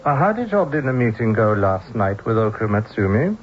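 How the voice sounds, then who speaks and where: A man's voice: on the low side, sing-song, fairly quick, over loud music. An actor playing a polite, dutiful secretary to a businessman in an old-time radio drama. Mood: inquiring. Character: deferential male secretary to a businessman. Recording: muffled, noisy old radio broadcast.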